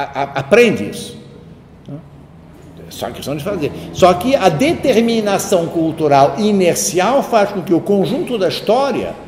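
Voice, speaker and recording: A man delivering a university lecture, his voice sitting slightly higher than most men's. He reasons aloud in long, digressive sentences, often checking in with quick tag questions. The sound carries a long, roomy hall reverb with a faint hum of ambience behind. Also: a little mellow. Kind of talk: spoken lecture.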